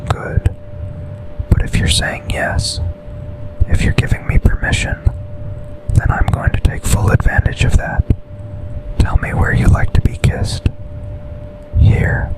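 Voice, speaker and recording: A man playing a smitten, commanding yet tender boss and secret lover in an intimate ASMR roleplay, speaking in a breathy, seductive whisper. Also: close to an everyday voice, rough recording. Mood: earnest, reassuring, then tender, longing. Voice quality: breathy, almost entirely unvoiced whisper. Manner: slow, deliberate, seductive, intimate.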